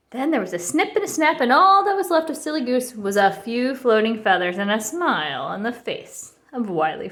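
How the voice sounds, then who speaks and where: A woman reading a children's picture book aloud with expressive narration. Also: fairly high pitch. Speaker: storybook reader.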